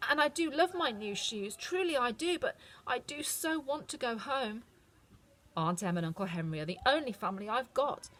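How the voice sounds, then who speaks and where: A woman's voice: on the high side, expressive, moderate pace, fairly bright tone, pitched above the performer's own voice. A woman voicing a sweet, earnest young farm girl who speaks in a pleading, homesick way. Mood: wistful. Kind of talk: storybook reading.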